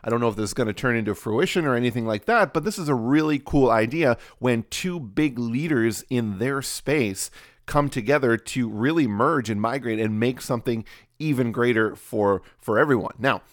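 A man's voice: medium pitch, moderate pace, no echo, clean studio sound. A man with a cold, sounding slightly nasal, hosts a solo podcast in a casual coaching manner with many fillers and restarts. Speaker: podcast host.

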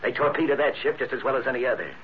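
An actor playing a cold, sneering wartime saboteur who speaks in tough gangster slang, in 1940s radio drama style. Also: male voice, medium pitch, racing, natural voice. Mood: sneering, gloating. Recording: noisy muffled old radio recording.

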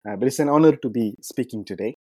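A man from India, a clinician answering interview questions in a measured way, with frequent ums and uhs. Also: mid-range voice, a little mellow, husky, no room sound. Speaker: clinician guest.

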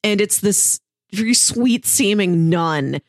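A woman's voice: mid-range voice, animated, takes it slowly, no echo, studio quality. A woman co-hosting a podcast, talking in a casual, analytical way. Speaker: podcast co-host.

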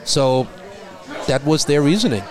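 A man's voice: on the high side, lively intonation, measured pace, a crowd in the background. Middle-aged man with a German accent. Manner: thoughtful, repeats words when starting a thought. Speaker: guest. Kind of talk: casual conversation.

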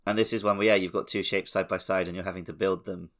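A man's voice: low voice, little pitch movement, quick pace, a bit bright. A man co-hosting a podcast, casually discussing a video game. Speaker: podcast co-host.

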